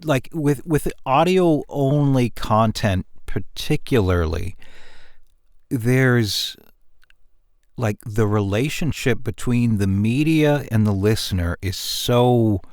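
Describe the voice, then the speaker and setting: A man speaking as the writer in a casual, reflective cast discussion, halting and thoughtful as he picks his words. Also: mid-range voice, darkish tone, dry recording, clean studio sound. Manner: halting, thoughtful, mulls over wording.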